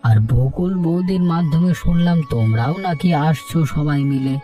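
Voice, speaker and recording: A man's voice: fairly high pitch, moderate pace, dark tone, soft background music, lightly acted. A man voicing a jovial, sociable village family man in cartoon dialogue, chatty and familiar. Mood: eager.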